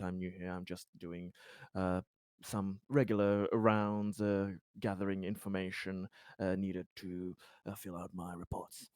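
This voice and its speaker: A man improvising in character as a tabletop game player, speaking in a hesitant, halting and polite way. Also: sits low, a little mellow, clean tone, no room sound, studio quality.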